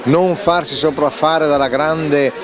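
A man's voice: fairly high pitch, steady tone, takes it slowly. Older man, official, emphatic and formal, crowd chatter behind. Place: outdoor expo. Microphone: handheld mic.

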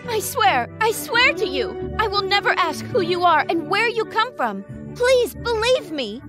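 A woman acts out a young fairy tale princess with a high, very animated voice. She is earnest, anxious and pleading, melodramatic in cartoon fashion, with loud music underneath. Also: unhurried, full character voice. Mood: fervent, pleading. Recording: music behind the voice.

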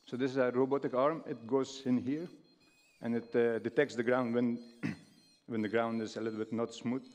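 A man in his thirties from Afghanistan giving a stage talk, halting with many fillers and restarts. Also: medium pitch, level tone, a little mellow. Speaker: speaker. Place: large stage hall with audience. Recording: long hall reverb.

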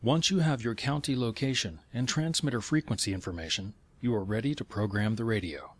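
A man narrating scripted instructions in clear, step-by-step phrasing. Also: mid-range voice, brisk.

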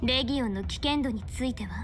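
An actress playing a stern young fortune-teller official in a game, speaking in a formal, measured, authoritative way. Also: female voice, fairly high pitch, slight background noise, natural voice. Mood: serious, measured. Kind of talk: scripted game character dialogue in Japanese dub.

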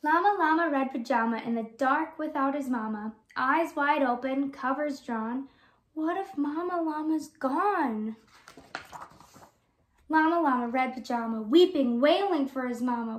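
A young woman with a high voice hosts a read-aloud for kids. She reads picture-book text expressively and talks casually and directly to her young audience, recorded at home in a living room. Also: animated, a little slow. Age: young adult. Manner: addresses young listeners with questions. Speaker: children's read-aloud host, education student.

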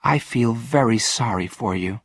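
A man's voice: measured pace, husky, no room sound. A man voicing a kind but outspoken young girl in a storybook, earnest and emphatic. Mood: earnest, reproachful. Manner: earnest, emphatic, raised pitch.

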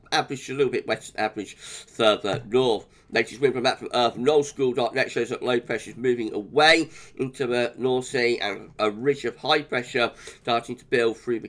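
Croaky british guy doing a chatty weather forecast, laughs a bit. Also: medium pitch, a bit bright. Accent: British English. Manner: chatty, laughs often, restarts. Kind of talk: casual forecast talk over charts.

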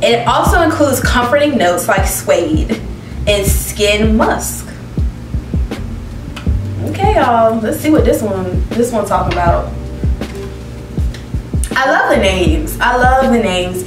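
A woman's voice: a little high, slow, long pauses, distant mic. A young woman casually reviewing perfumes on camera, reading out descriptions and reacting expressively. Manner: expressive, reads descriptions aloud, reacts with laughs. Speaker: beauty vlogger. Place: living room with plants, indoors. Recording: roomy echo, mic set back, music behind.

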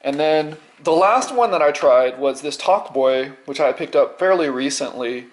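A middle-aged man giving a casual unscripted show-and-tell, halting and rambling, often repeating words as he goes. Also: on the high side, animated. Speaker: vlogger.

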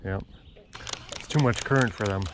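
A young man chatting casually while fishing from a boat on a river, getting excited when fish strike. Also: fairly low pitch, normal speed, noisy background. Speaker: angler and video host. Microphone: action camera on the boat.

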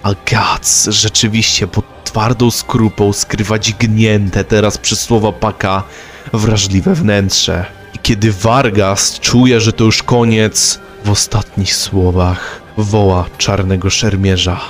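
A man's voice: fairly low pitch, fairly even intonation, slow pace, soft background music. A man narrating a scripted story recap.

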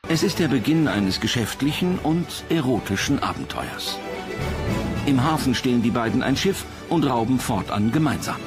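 A man's voice: mid-range voice, expressive, moderate pace, over music. A man reading scripted documentary narration as the narrator.

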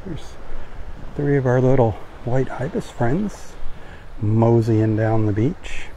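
A man hosting a live beach walk, chatting in a relaxed, folksy, cheerful way while filming outdoors on a phone. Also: medium pitch, slow pace, a little hiss or hum, rough recording. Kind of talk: casual live narration while walking. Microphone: phone camera held while filming.

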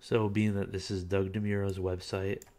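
A man's voice: sits low, moderate pace. A man casually talking through a car auction listing, reading parts aloud with frequent filler words. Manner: relaxed, reads listing aloud with filler uhs. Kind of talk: casual screen-recorded commentary.

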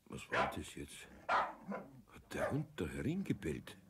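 A man's voice: very deep voice, huge pitch swings, slow pace, very noisy, full character voice. An older man playing a flustered, homely master craftsman in a children's radio play, exclaiming and muttering in dismay. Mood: alarmed, bewildered.

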